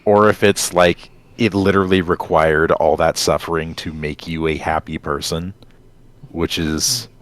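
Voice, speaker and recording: A man's voice: deep voice, level tone, measured pace. A man co-hosting a casual discussion, speaking hesitantly as he searches for his words. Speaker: co-host. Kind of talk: casual discussion after a story reading.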